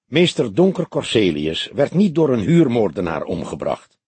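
A man voicing a husky, measured older detective. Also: medium pitch, very animated, darkish tone, no room sound. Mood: terse. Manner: measured, formal phrasing. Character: older male police detective, calm, deliberate, sceptical. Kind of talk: audiobook character dialogue.

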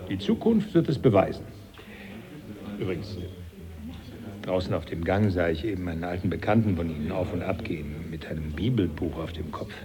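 A man's voice: low voice, lively intonation, brisk, a crowd in the background. An actor playing a genial older male professor, dryly amused and familiar, in a German radio play. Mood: wry, confiding. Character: genial old professor, the hero's former teacher, dryly amused.

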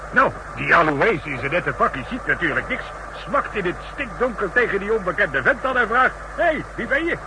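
A man's voice: big pitch swings, normal speed, few pauses. An actor playing a brash, jokey travelling salesman who teases and tells tales boisterously in a 1960s radio drama. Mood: jovial, boisterous. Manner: loud, teasing, boisterous storytelling. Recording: noisy old radio recording, vehicle rumble.

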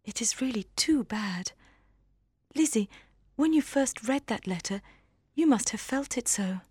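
A male narrator voicing a gentle, soft-hearted young woman in a tender, sympathetic manner, with a breathy falsetto. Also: lively intonation, normal speed, no room sound, clean studio sound, heavily acted. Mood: tender dismay. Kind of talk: audiobook character reading.

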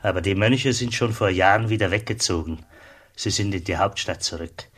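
A friendly customs guard in a radio play, low husky voice, kind and welcoming. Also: male voice, normal speed, fairly bright tone. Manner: kindly, hospitable. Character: friendly border customs officer.

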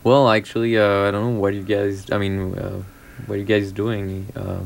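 A man's voice: lowish voice, little pitch movement, normal speed, creaky. A man visiting a radio talk show as a guest, speaking hesitantly. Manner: hesitant, hedging. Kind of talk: casual radio chat.

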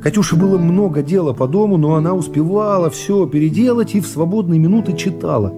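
A man narrating a literary radio play in a measured, expressive storytelling manner. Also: fairly high pitch. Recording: music behind the voice. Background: plucked guitar music.

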